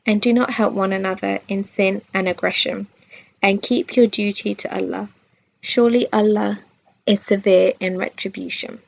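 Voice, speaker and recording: A woman reading a scripted religious lesson aloud in a measured, formal way. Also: medium pitch, fairly even intonation, gravelly, muffled recording. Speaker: reader of a religious study.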